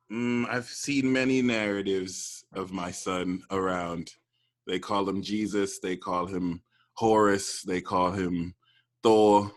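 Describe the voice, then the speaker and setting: A man playing God as a joke, putting on a British accent and talking in a deadpan, playful way on a live stream. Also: deep voice. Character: God, a joking man-made deity with a put-on British accent. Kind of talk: comic improvised bit on a live stream.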